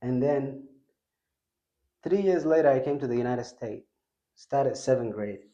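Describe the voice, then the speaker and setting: Man with mellow voice telling his story. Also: medium pitch, measured pace, reverberant, muffled recording. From Ethiopia, raised in Kenya. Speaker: interview guest.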